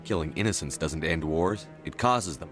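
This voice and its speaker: An actor playing a resolute military commander in a video game, asking and answering in a terse, direct, businesslike way. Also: male voice, very deep voice, moderate pace. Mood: blunt disapproval. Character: male military commander, blunt, resolute, inquisitive. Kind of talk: scripted game dialogue. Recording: game dialogue over background music.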